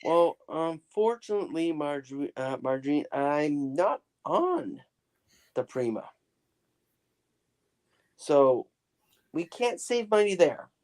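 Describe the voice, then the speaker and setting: A man's voice: fairly high pitch, animated, takes it slowly, pauses often, a little mellow, clear, resonant tone. A man chatting casually on a livestream, often stammering and repeating words as he gets going. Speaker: livestream co-host. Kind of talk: casual chat.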